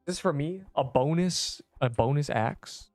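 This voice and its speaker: A man's voice: a little high, a little slow, darkish tone, dry recording, faint music behind. A young man from Canada with a subtle Canadian accent, chatting casually and rambling while streaming a game. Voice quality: rambling, self-interrupting chatter. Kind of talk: casual live-stream chat while gaming.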